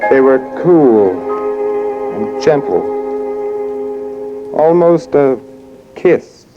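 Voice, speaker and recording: A man's voice: on the high side, wildly swooping pitch, phone-quality sound, full character voice. An actor playing a haunted, furtive murderer who narrates his crime in 1940s radio thriller style. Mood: tense. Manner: dramatic, deliberate first-person storytelling. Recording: old radio drama over loud music.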